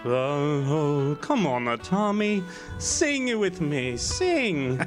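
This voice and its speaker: A man's voice: a little high, very animated, very slow, faint music behind, full character voice. An actor playing a sentimental old Italian male farmer, a widower, coaxing and singing in a radio play. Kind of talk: radio drama acting.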